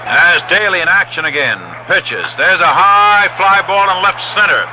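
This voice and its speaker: A man with an American accent giving measured, descriptive live radio commentary as a baseball play-by-play announcer. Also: high voice, dull audio. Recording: noisy vintage radio broadcast. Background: steady stadium noise outdoors.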